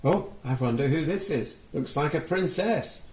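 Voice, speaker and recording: A man with a fairly high, very lively voice reads a picture book to small children. He takes his time over the words and adds chatty little exclamations as he goes. The sound is roomy and echoing. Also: normal speed, slight background noise. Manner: expressive, gently chatty, exclaiming to young listeners. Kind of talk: children's storybook read-aloud with asides.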